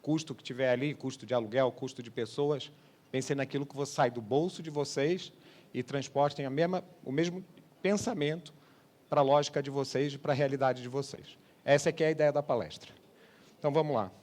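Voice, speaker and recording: A man's voice: mid-range voice, fairly even intonation, brisk, darkish tone. A man in his forties with a Brazilian accent tinged by Portugal, giving a conversational conference presentation and explaining things with frequent check-in tags. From Brazil (born Rio de Janeiro) and Portugal. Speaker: sales manager giving a conference talk. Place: trade congress presentation space.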